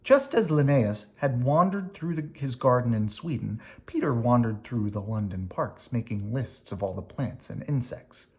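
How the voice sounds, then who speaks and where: A person reading a children's picture book aloud expressively, with dramatic emphasis, pauses and brief explanatory asides. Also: medium pitch, lively intonation, a little mellow. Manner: dramatic emphasis, pausing between phrases.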